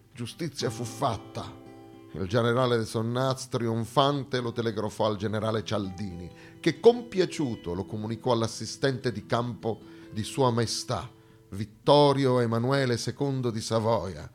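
A man performs a theatrical monologue as a narrator on stage. His delivery is dramatic and emphatic, in a medium-pitched voice. Soft plucked guitar plays faintly behind him.

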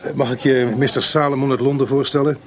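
A man's voice: mid-range voice, flat delivery, quick pace, lightly acted. An actor playing a genial, fatherly older composer in a Dutch radio drama. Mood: genial, contented. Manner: genial, hospitable.

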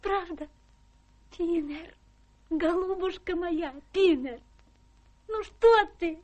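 Guileless genteel young daughter, girlish, very high, unhurried, earnest radio drama delivery. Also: a little mellow, lo-fi sound. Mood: affectionate. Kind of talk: theatrical radio play acting.

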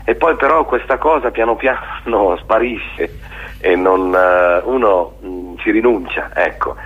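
Male interviewee, very low husky voice, reflective and hesitant conversational delivery, slightly dull radio sound. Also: lively intonation. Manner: halting and reflective, often seeking agreement with tag questions. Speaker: theatre maker being interviewed.

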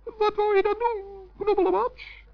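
A kindly, worried little gnome in a children's radio play. He talks earnestly in a very high, tiny voice, always trying to smooth things over. The recording is old and dull. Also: fairly even intonation, unhurried, heavily acted. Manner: earnest, fretful, conciliatory.

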